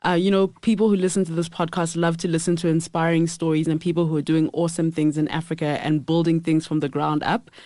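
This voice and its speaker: A woman hosts a podcast in a somewhat low voice. She introduces her guest and keeps the talk moving with steady, encouraging affirmations. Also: fast, hardly any pauses. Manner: engaged, affirming with frequent backchannel. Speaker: podcast host. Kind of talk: podcast interview and scripted intro.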